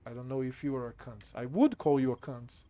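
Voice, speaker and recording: A man's voice: mid-range voice, a little fast, warm tone. A Brazilian man with a Brazilian Portuguese accent, casually commenting on a game he is playing and chatting with his stream viewers, reading the game text aloud under his breath and swearing freely. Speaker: gameplay streamer.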